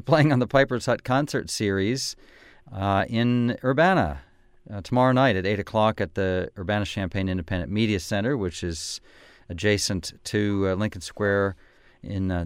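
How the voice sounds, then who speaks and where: A man's voice: fairly low pitch, smooth, no room sound. An American man hosting a radio interview, hesitant and full of fillers as he corrects himself. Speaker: radio host.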